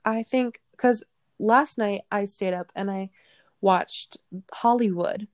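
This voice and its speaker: A woman's voice: medium pitch, slow pace, darkish tone, no room sound. Chatty Minnesotan woman, dry sound. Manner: chatty, giggly, trailing off with "like". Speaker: podcast co-host. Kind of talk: casual chat.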